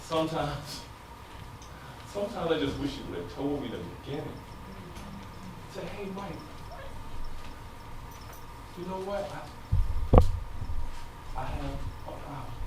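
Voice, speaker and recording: An actor playing an anguished, frustrated husband in an emotional theatrical stage monologue that moves between quiet confiding and loud outbursts. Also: male voice, on the high side, lively intonation, moderate pace, long pauses, lightly acted. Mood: exasperated, pleading. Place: stage in a large indoor room. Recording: echoey stage, distant mic, noisy.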